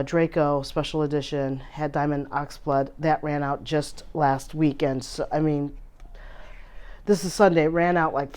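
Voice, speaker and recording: A woman hosting a casual fountain pen vlog, her voice hoarse from a recent illness, chatty and full of filler ums as she shows her pens. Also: low-pitched, a little mellow. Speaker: fountain pen channel host. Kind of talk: casual show-and-tell vlog.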